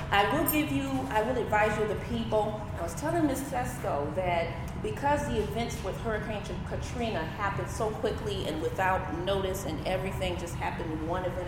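A woman speaking up as she presents and reads a novel aloud at a public reading. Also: medium pitch. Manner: speaks up to project to a live audience. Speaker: reader at a public book reading. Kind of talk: read-aloud of a novel with informal introductory asides. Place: large echoey room before a live audience. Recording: echoey hall with long reverb, clear background noise.

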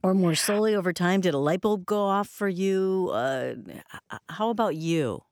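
A radio show host who interviews a guest, talking slowly and haltingly with many fillers. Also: medium pitch, animated, dry recording, studio quality.

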